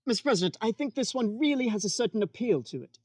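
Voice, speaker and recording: A woman's voice: fairly high pitch, expressive, fast talker, a little mellow, no echo, clean studio sound. A woman playing a flustered, deferential male committee adviser in a radio comedy sketch. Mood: obsequious. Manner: deferential, prim, apologetic. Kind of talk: old-time radio sketch comedy parody.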